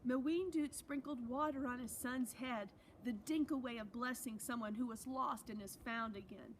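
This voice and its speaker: A woman's voice: a little high, normal speed, slight background noise, poor audio. A woman teacher reading a children's novel aloud to her students, expressively, with chatty asides.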